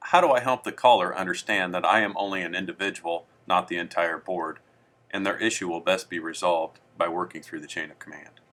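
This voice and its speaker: A man with a low, clear-edged bright voice presents a training tutorial. He reads from a script in a formal, measured and instructional way, with a forward, crisp tone.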